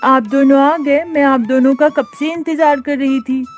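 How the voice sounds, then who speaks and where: A woman's voice: high-pitched, normal speed, soft background music, natural voice. A woman voicing a sweet, eager little girl in a cartoon, with a childlike, pleading manner. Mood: impatient.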